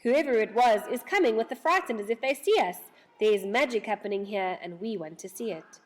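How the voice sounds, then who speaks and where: An eager young storybook girl, read by a woman, full of curiosity. She speaks keenly and very expressively, with lots of lift in the pitch. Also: animated, fairly quick, close to an everyday voice, rough recording. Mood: urgent.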